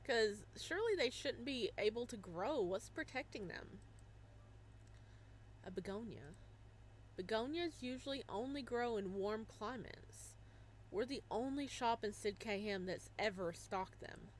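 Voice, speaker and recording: A woman voicing a quiet, lonely young man from a visual novel, speaking softly in her own voice. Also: high voice, animated, measured pace, a little hiss or hum, poor audio. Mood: wondering.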